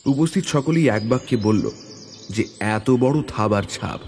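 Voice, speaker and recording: A man reading a hunting adventure as a dramatic audio story, in a measured, suspenseful way. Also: on the low side, animated, a little mellow, a little hiss or hum. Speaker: story narrator. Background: background music.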